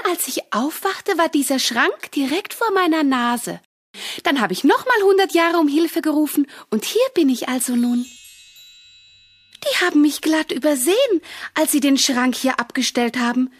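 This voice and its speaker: A woman's voice: sits high, no room sound, studio quality. A woman playing a prim, dutiful good fairy in a children's radio play, moralizing and easily put out.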